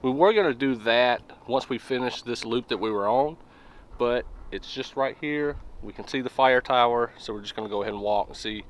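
A man's voice: mid-range voice, normal speed, slight background noise. A man in his thirties with a Southern accent, chatting easily outdoors while hiking, filmed up close in the woods. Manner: relaxed, easygoing, drawls "fixing to". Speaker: vlogger. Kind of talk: casual hiking vlog chat.

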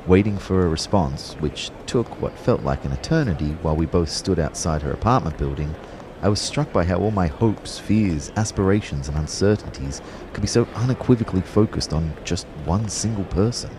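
An actor playing a wry, lovestruck young personal trainer who narrates an audio drama in a measured, understated way. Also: male voice, sits low, lively intonation, background noise. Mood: wry, fond.

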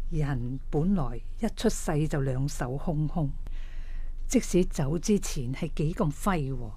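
Gentle old mother-in-law in a radio drama, really slow n reassuring, low voice.

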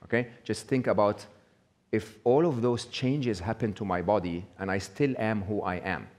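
Middle-aged male speaker, low voice, casual stage talk, keeps asking "okay?". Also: normal speed, a little mellow. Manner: conversational, checks in with frequent "okay?". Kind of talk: live talk to an audience. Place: small indoor venue with brick wall.

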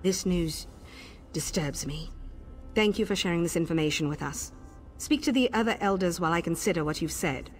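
A woman playing a dignified, cautious female elf elder in a fantasy video game, formal and measured. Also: lowish voice, faint music behind, lightly acted. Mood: solemn.

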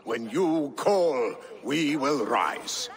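An old Viking jarl, humble and dignified, in a video game drama. His gravelly voice moves slowly and solemnly with big swings of pitch. It rings in a long hall reverb. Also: animated. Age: older.

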